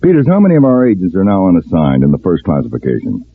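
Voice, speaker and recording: An actor playing a shrewd, authoritative agency chief with a clipped, thoughtful delivery in an old radio drama. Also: male voice, sits low, wildly swooping pitch, quick pace, full character voice. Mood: decisive. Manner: musing, clipped, decisive. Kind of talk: 1940s-50s radio drama acting. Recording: tinny, band-limited old radio recording.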